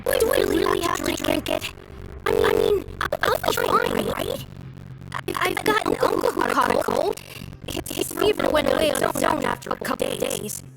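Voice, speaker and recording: A woman's voice: a bass voice, huge pitch swings, moderate pace, creaky, heavily acted, poor audio. A woman voicing a sick young boy in a video game, whiny and anxious, hesitant and pleading. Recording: loud music behind the voice.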